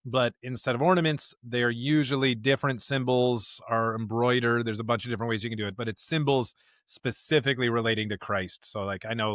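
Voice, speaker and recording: A man's voice: medium pitch, a bit bright, no room sound, studio quality. An American man co-hosting a podcast as a pastor, talking casually and informally in a chatty way.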